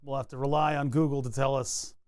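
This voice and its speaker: A man's voice: mid-range voice, level tone, quick pace, darkish tone, smooth, no echo. Rambling man with a dry, close sound. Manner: rambling, thinks aloud, restarts sentences. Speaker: streamer. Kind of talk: casual livestream chat while designing a circuit board. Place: home computer desk.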